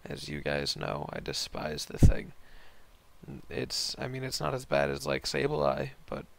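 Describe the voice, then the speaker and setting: A young man with a hoarse, worn voice giving casual, rambling, slangy commentary on an online game battle. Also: very deep voice, very animated, unhurried, slight background noise. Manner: rambling, slangy, laid-back. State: hoarse, voice partly lost from concert the night before.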